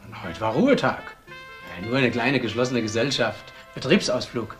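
Restaurant landlord , male actor: excitable, suspicious, lively bright delivery for radio drama, music behind. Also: medium pitch, moderate pace, close to an everyday voice. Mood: helpful, flustered. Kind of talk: crime radio drama acting.

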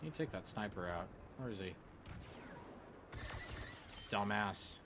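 A man with a lowish voice hosts a casual let's play. He talks offhand to himself with very lively pitch swings, over clear game battle noise. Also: takes it slowly. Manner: offhand, muttering to himself, playful sound effects. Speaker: gaming video host.

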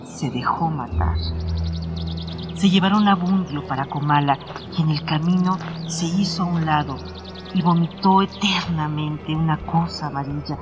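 A man's voice: a little high, normal speed. A man reading scripted, literary narration for a radio drama. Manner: literary storytelling. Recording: music under the narration.

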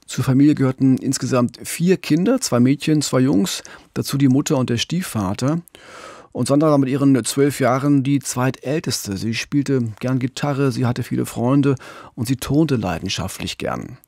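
A middle-aged or older man hosting a true-crime podcast, telling the story in a measured, earnest way. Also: medium pitch, darkish tone, dry recording, clean studio sound. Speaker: podcast co-host, TV crime reporter.

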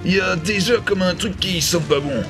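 A man's voice: high voice, moderate pace, loud music behind the voice. A man in his twenties hosting a comedy review, narrating a script in an ironic, slangy way. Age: 20s. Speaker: host of a comedy review show.

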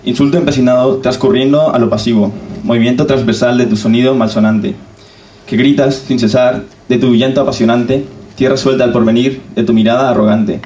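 A man's voice: medium pitch, fairly even intonation, fairly quick, mellow. A man reciting a poem of his own as a slam poet on a live stage. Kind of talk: poetry recital. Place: café stage. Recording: echoey distant live recording, faint noise.

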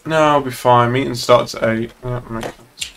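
A man's voice: medium pitch, fairly even intonation, moderate pace, vocal fry, a bit bright, soft background music. A young man chatting casually and bluntly while streaming a game, with plenty of swearing. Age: young adult. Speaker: streamer playing a police officer in online roleplay.

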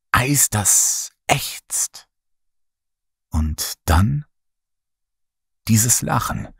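A man narrating a horror story in the first person, dramatic and lively. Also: fairly low pitch, slightly rough, no echo, studio quality. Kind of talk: horror audiobook reading.

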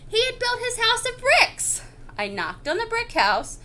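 A woman's voice: very high-pitched, huge pitch swings, unhurried, crowd noise, pitched above the performer's own voice, heavily acted. A woman voicing an indignant, self-justifying wolf telling his side of a picture-book story in a chatty, confiding, theatrical way. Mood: confiding, smug. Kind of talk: expressive picture-book read-aloud.

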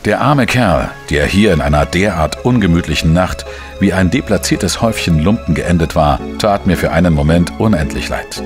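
An actor playing a wry, world-weary journalist who narrates a radio crime drama in a measured, dry manner. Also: male voice, sits low, animated, over music. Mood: reflective. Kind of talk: scripted first-person crime narration.

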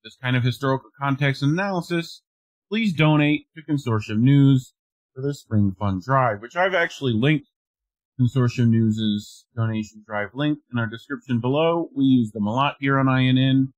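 A man's voice: medium pitch, moderate pace, dry recording, rough recording. An American man in his thirties co-hosting a news show, reading articles aloud and commenting casually.

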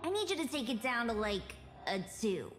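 A woman's voice: on the high side, very animated, normal speed. A woman voicing a small, cheeky, teasing yordle in a video game.

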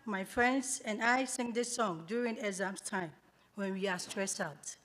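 A woman from Ghana, Twi her first language, delivers a prepared speech at a podium microphone. Her voice sits a little high, and she reads slowly and earnestly with careful pauses. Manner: measured, earnest reading with deliberate pauses. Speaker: graduating student speaker. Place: banquet hall at a graduation celebration. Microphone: podium microphone on a stand, filmed from a far camera.

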